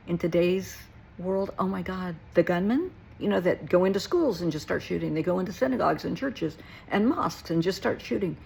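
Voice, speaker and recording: A woman's voice: on the low side, expressive, a little fast, gravelly, hardly any pauses, darkish tone, slight background noise, low-quality recording. A middle-aged woman giving a reflective personal talk to listeners, earnest and emphatic, repeating short phrases for weight. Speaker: therapist and nurse.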